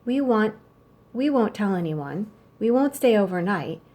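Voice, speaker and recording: A woman's voice: medium pitch, animated, a little slow, background noise, natural voice. Blunt, eager little boy voiced by a woman. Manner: blunt, matter-of-fact. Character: young boy, blunt, money-minded, eager little brother.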